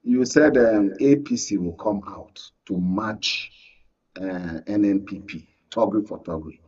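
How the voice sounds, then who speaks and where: Male Nigerian political analyst, husky voice, insistent panel debater, dull off-mic studio sound. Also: on the low side, a little slow, darkish tone, distant mic. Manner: insistent, holds the floor, repeats phrases. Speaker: panel guest, media analyst. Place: radio and TV studio.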